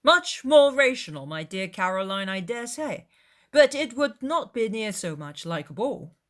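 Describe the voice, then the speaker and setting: A good-natured young Regency gentleman, put on by a woman narrator, breezy and emphatic. The delivery belongs to a dramatized period novel. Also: a little high, sing-song, measured pace, pitched above the performer's own voice. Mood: teasing. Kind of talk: dramatized classic novel reading.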